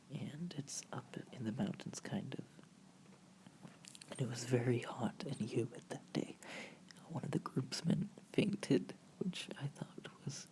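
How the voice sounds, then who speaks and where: A narrator from the United States whispering softly and breathily through an informal, rambling slideshow in ASMR style. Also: medium pitch, vocal fry, rough recording. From United States, family from Virginia. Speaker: video creator and host.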